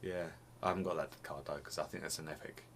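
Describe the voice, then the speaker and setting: A man's voice: a bass voice, fairly even intonation, fast talker, a bit bright, a little hiss or hum. A young man chatting casually to camera in a small home room, rambling and restarting his phrases, slightly sniffly. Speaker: vlogger.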